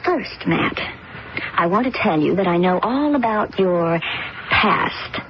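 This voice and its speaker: A woman's voice: mid-range voice, expressive, takes it slowly. A cold scheming rich girl. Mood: calculating. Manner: cool, calculating, insistent. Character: young rich woman scheming murder. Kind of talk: 1970s radio drama acting. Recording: dull old radio broadcast, noisy.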